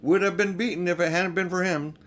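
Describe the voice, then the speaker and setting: A man's voice: high voice, fairly even intonation, brisk, a bit bright, voice pushed up. An older man voicing an earnest young king in a storybook, recounting a battle emphatically. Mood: earnest, excited.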